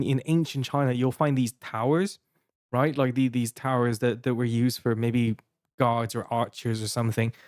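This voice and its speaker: A man's voice: medium pitch, no room sound, clean studio sound. A man in his thirties with a Taiwanese accent, co-hosting a podcast and telling a long casual story with many restarts and fillers. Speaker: podcast co-host.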